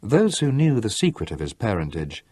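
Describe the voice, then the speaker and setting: A man narrates a literary novel in a measured storytelling manner, slowing for dramatic effect. His voice sits low and sounds husky, recorded close and dry with no room sound. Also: huge pitch swings, dark tone, dry recording. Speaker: audiobook narrator.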